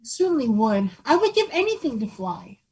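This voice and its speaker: A woman's voice: fairly high pitch, very animated, moderate pace, warm tone, breathy edge, heavily acted. A woman voicing a kind little boy who speaks earnestly and eagerly.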